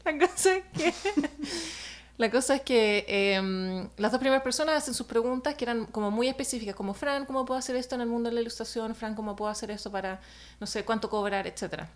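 A woman's voice: a little high, fast. A woman, an illustrator telling a personal story in a casual chat, hesitant with many fillers.

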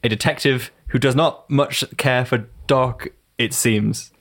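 A man's voice: medium pitch, fairly even intonation, unhurried, fairly bright tone. A man in a casual book discussion, talking in loose, restarting sentences and laughing often. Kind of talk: casual book club chat.

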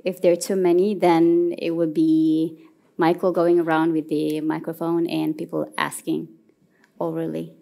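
A woman runs a panel discussion and moves it to the question round. Her voice sits a little low for a woman. She keeps things short and encouraging. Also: flat delivery, normal speed, gravelly, warm tone. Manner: brief, encouraging backchannels. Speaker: panel moderator. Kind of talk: moderating a panel.

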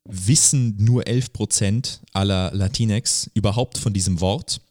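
Casual male podcast host, low-pitched, rambling and colloquial, recorded dry. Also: unhurried, a little mellow, no room sound. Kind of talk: casual conversational podcast chat.

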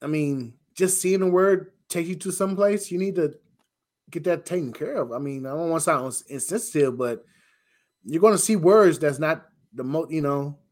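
This is a man with a somewhat high-set voice that has a rough edge to it. He speaks casually, like a co-host airing opinions. His manner is blunt and exasperated. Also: moderate pace, darkish tone. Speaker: talk show co-host.

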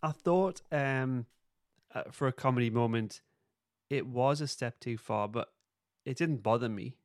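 A man's voice: mid-range voice, measured pace, no room sound, studio quality. A man co-hosting a podcast, loosely and a little hesitantly recapping a story in casual chat. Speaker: podcast co-host.

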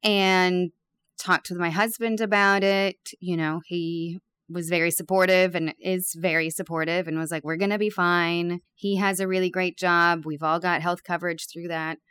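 A woman's voice: fairly low pitch, little pitch movement, normal speed, fairly bright tone, clear, resonant tone. A woman in her thirties, a bakery owner, talking openly and candidly as an interview guest with some self-deprecating humor. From born in Peru, raised abroad. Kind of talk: casual interview chat.

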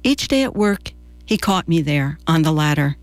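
An American woman in her sixties reads a scripted personal essay for radio in a measured, deliberate storytelling manner. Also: low voice, very animated, no echo. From New Jersey, United States. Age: 60s. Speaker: radio commentator and presenter.

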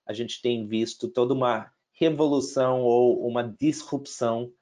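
A man's voice: medium pitch, fairly even intonation, measured pace, warm tone. A middle-aged man with an American accent speaking Portuguese, telling his career story with many restarts and fillers and slipping in English words. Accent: American English, some Brazilian and European Portuguese. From United States. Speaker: investor guest. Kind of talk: casual interview talk.

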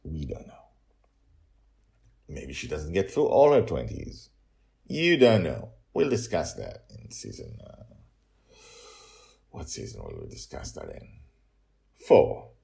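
A man's voice: extremely low pitch, huge pitch swings, slow pace, gravelly, pauses often, dark tone, husky. A middle-aged man hosting his own story podcast, chatting casually and self-mockingly through the outro and credits. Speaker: podcast author and narrator.

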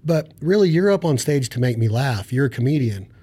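A man's voice: medium pitch, animated, brisk, dark tone, slightly rough. A man hosting a podcast interview, asking long rambling questions with enthusiasm. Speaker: podcast host.

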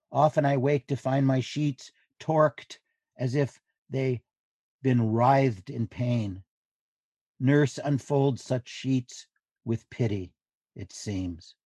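A man giving a literary reading of diary entries in measured, deliberate phrases. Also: mid-range voice, warm tone, no room sound.

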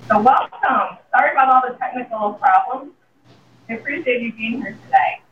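A woman's voice: on the high side, expressive, normal speed, noisy background. A woman hosting an interview, introducing her guest in a chatty way with many fillers. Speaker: host. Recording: distant echoey phone-like sound.

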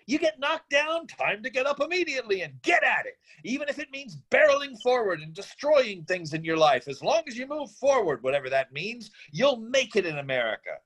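A man's voice: high-pitched, a bit bright, full character voice. A man acting an idealistic, defensive drifter father in a screenplay reading, speechifying earnestly in a rambling way. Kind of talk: screenplay table-read acting.

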